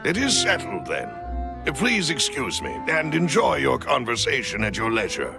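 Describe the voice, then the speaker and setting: A mid-pitched, gravelly male attendant from a video game. He is formal and polite, with very wide intonation. Loud string music plays behind him. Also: wildly swooping pitch, normal speed. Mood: courteous. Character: courteous, formal male attendant who arranges things.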